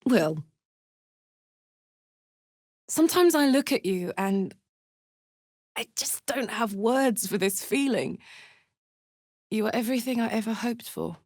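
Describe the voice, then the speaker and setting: A fiery tiefling warrior woman for a fantasy game. She speaks slowly and bluntly, wearing her big heart openly. Her pitch moves freely up and down, and the sound is dry with no room around it. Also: expressive, a little mellow, no echo. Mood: tender. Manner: blunt, informal, emotionally open soldier's talk. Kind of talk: video game character voice acting.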